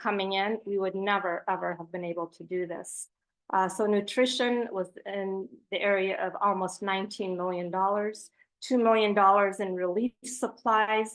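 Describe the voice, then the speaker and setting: A woman's voice: mid-range voice, fairly bright tone, rough recording. A woman speaking as a charity representative on a panel, giving a prepared presentation with asides in an earnest, emphatic way.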